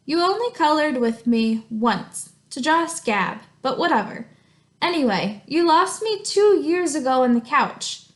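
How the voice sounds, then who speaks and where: A woman's voice: high voice, a bit bright, exaggerated voice. A woman voicing a wounded, grumbling crayon from a storybook, reading its letter in a dramatic, aggrieved way. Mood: wry. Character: wounded, grumbling maroon crayon writing home.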